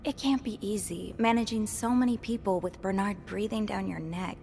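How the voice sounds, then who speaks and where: A woman voicing a gentle, curious hotel maid turned amateur sleuth in a mystery video game. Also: medium pitch, normal speed, creaky, soft background music, lightly acted. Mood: empathetic.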